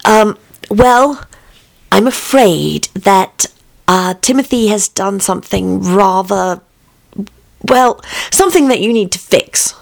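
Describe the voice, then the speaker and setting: A woman's voice: medium pitch, expressive, takes it slowly, creaky. A woman voicing a nervous, stammering child in an improvised story scene. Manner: hesitant, stammering. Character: nervous child calling for Dad.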